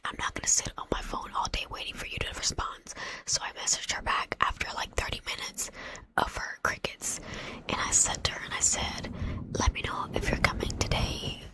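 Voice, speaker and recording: A woman whispering an exasperated storytime while chatting with live viewers as an ASMR host. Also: rough recording. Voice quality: breathy whisper.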